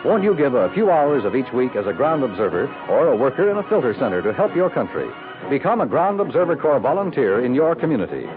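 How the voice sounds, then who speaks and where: A man's voice: medium pitch, sing-song, fast. A man reading scripted announcements in a formal, emphatic, polished 1950s radio style. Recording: tinny 1950s radio recording with music.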